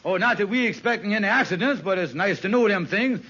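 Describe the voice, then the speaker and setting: A man's voice: sits high, expressive, quick pace, pushed and bright, husky, full character voice. A man playing a sly, hard-up schemer in an old radio comedy, with a hesitant, stammering, folksy delivery. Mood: nervous, sly. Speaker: sitcom actor. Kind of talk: 1940s-50s radio comedy acting. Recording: muffled, noisy old radio recording.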